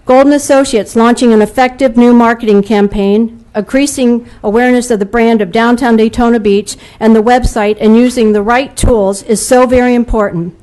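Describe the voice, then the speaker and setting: A woman who owns a local shop, reading a prepared statement to a city board in a steady, formal way. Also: a little high, normal speed, few pauses, poor audio. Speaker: local business owner speaking at public comment. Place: city commission meeting room.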